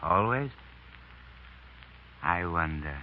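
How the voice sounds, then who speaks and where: Aging novelist, fervent, muttering and exclaiming, very varied pitch, old dull radio recording. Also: male voice, expressive, extremely slow, exaggerated voice. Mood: musing, fervent. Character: fervent, gruff aging male French novelist. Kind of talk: 1930s radio acting. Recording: muffled, noisy 1930s radio recording.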